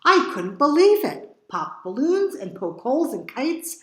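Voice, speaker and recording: Storybook pet owner, woman performer: puzzled and eager, emphatic, very lively pitch, roomy sound. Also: measured pace, exaggerated voice. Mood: disbelieving. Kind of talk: picture book read-aloud for children.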